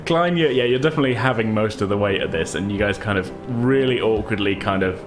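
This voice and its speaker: A man's voice: on the low side, fast talker, over music. A man running a tabletop role-playing game as game master, narrating in a conversational, casual and amused way.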